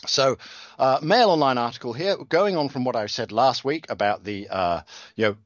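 A man's voice: mid-range voice, lively intonation, normal speed, no room sound, clean studio sound. A man hosting a casual talk show, reading news articles aloud and adding sarcastic comments, often stumbling and restarting his words. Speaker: podcast host reading news.

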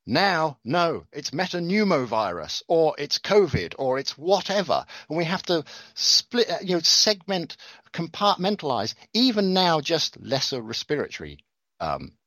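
A man comments on the news with a dry, sardonic edge, tripping over words and circling back as he reads. His pitch rises and falls a lot as he talks. The sound is dry and close, with no room echo. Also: big pitch swings, a little slow, fairly bright tone. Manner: sarcastic, rambling, stumbles over words and restarts. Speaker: podcast host reading news. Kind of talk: casual commentary on news articles.

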